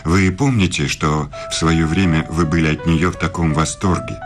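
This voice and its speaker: An actor playing a courtly, self-assured middle-aged philosophy professor in a radio play. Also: male voice, extremely low pitch, normal speed, music in the background. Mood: grave, formal.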